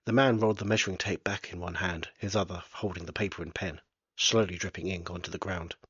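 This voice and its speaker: A man's voice: low-pitched, fairly even intonation, fast, a bit bright, slightly rough, dry recording, muffled recording. A man reading aloud from his own novel in a plain, unpolished way. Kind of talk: storybook narration.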